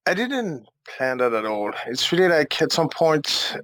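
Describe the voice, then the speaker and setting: Relaxed Swiss man, dry sound. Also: on the high side, measured pace, no room sound. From Switzerland. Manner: relaxed, many fillers, laughs easily. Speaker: guest, visual effects artist. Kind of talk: casual interview chat. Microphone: call microphone.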